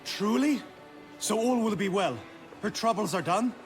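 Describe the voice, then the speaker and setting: A man's voice: high-pitched, expressive, a little slow. A man playing an earnest, lovelorn knight in a fantasy video game, anxious and eager in his delivery. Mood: anxious relief. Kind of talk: video game cutscene acting. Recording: faint music under the voice.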